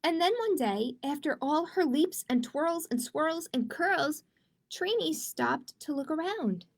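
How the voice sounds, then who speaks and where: Slow, high-voiced woman hosting storytime. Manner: expressive, chatty, addressing young children with questions. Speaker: children's librarian and storytime host. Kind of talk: children's storytime, read-aloud with asides to viewers. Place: livestreamed storytime.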